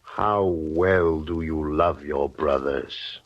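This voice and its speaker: An actor playing a greedy, menacing stonemason husband in an old radio drama, speaking in archaic phrases. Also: male voice, rumbling low, drawn-out words, no room sound, exaggerated voice. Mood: scheming. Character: greedy brooding stonemason husband, 18th century. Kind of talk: 1950s radio drama acting. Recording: dull old radio recording.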